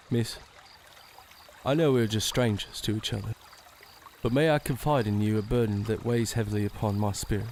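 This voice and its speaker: A nervous young sheriff in an audio drama, played by a man. His voice sits low with a gravelly edge, and he talks in formal, halting sentences. Faint noise hums behind him. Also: steady tone, a little mellow, close to an everyday voice. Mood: anxious, confiding. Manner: formal, halting, self-doubting.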